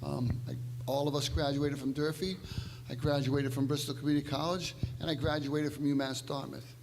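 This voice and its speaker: An older man, the incumbent mayor, giving a steady and measured candidate speech at a forum. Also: fairly high pitch, vocal fry, background noise. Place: church hall forum. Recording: long hall reverb.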